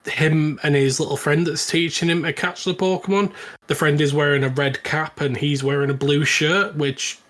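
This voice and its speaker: A man co-hosting a casual film chat podcast, giving mild opinions in a measured manner. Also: on the high side, steady tone, fairly bright tone. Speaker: podcast co-host.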